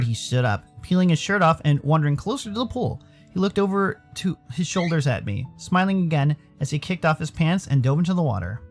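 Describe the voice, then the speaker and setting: An American man reading scripted story narration with plenty of expression. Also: fairly high pitch. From Arizona, United States. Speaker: visual novel narrator. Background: faint music.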